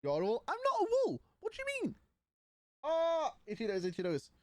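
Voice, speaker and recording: A man's voice: very high-pitched, huge pitch swings, normal speed, no echo. A man, casually chatting and bantering with his livestream chat. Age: 20s. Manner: banters with chat, mocking and playful. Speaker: streamer.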